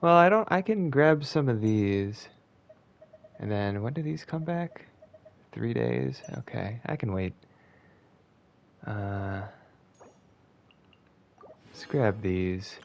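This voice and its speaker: A man's voice: on the low side, very animated, slow, creaky, pauses often, dull audio. A man who streams video games, thinking aloud in casual live commentary, muttering and swearing. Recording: faint background noise.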